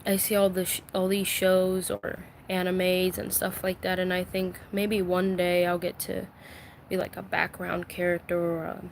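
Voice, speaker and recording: A woman who voice acts, talking from her bedroom in an emotional live-stream vent, halting and full of fillers, soft and confiding as she holds back tears. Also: on the low side, completely flat delivery, slight background noise. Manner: slow, halting, many fillers and restarts.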